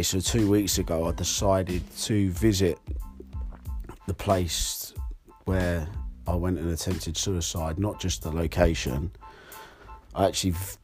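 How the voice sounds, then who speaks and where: A hoarse young man hosting his podcast alone, talking candidly and rambling with fillers. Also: sits low, darkish tone. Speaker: podcast host. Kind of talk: solo podcast monologue.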